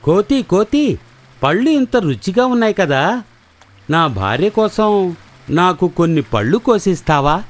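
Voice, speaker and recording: A man's voice: high voice, sing-song, a little slow, pauses often. A man voicing a friendly, reluctant crocodile husband in a children's cartoon story. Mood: friendly request. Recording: music behind the voice.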